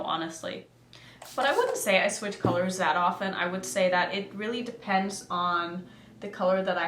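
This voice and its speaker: A woman's voice: medium pitch, a bit bright, a little hiss or hum. Young woman from Norway, native Norwegian, chatty and giggly, talking through makeup, roomy echo. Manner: chatty, giggly, thinks aloud. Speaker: makeup vlogger. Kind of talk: casual makeup tutorial chat. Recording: echoey room.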